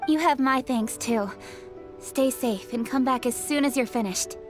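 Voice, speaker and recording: A brisk, high-voiced young official. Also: female voice, faint music behind. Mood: grateful. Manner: brisk, formal, polite. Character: Keqing, brisk, efficient young female official, courteous. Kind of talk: video game voice acting.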